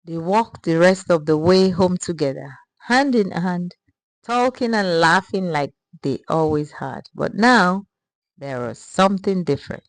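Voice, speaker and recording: A woman telling a story in an even, measured way. Her voice sits a little low, and the recording is dry and close with no room sound. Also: no room sound, rough recording. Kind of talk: scripted story narration.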